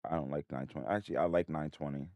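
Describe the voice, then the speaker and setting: A man hosting a trading livestream in a casual, rambling way, his voice a bit hoarse. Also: very deep voice, steady tone, very fast, a little mellow, no echo. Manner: rambling, many restarts and fillers. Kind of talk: casual live-stream talk.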